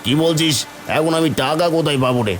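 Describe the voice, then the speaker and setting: A man's voice: fairly high pitch, steady tone, fast, over music, natural voice. Proud village schoolteacher played by a man. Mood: haughty, dismissive. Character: proud, stingy schoolteacher friend, later ruined. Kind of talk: animated Bengali moral story dialogue.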